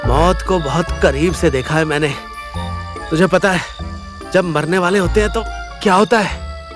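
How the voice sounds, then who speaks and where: A man playing a wounded, defiant young man in an audio drama, speaking gravely and with labored effort through pain. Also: fairly high pitch, normal speed, music in the background, natural voice. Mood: grave, regretful. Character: wounded, defiant, world-weary young man facing death. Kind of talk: Hindi audio drama acting.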